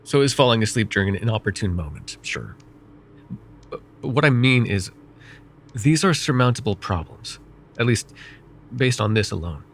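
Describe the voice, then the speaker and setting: A man voicing a vain, wry, middle-aged male mage who counsels a student in an ASMR roleplay, speaking in a calm, measured and gently teasing way. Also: fairly low pitch, slight background noise. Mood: calm reassuring. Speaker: voice actor.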